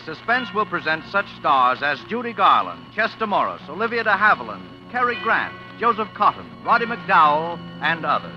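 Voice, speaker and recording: A man announcing upcoming episodes in a dramatic, booming 1940s radio style. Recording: dull old radio broadcast with music.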